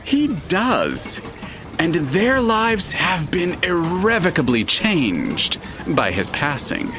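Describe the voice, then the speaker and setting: A detached, formal male narrator from an audio drama, with a very animated pitch that rises and drops widely. He speaks deliberately and slowly in a matter-of-fact manner, with music behind him. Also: full character voice. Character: dead man narrator, detached, formal, speaks of himself in third person.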